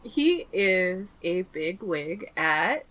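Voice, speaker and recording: A woman's voice: lowish voice, sing-song, very slow, muffled recording. A woman co-hosting a podcast, chatting casually, laughing often and acting out little quotes as she recaps. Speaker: podcast co-host.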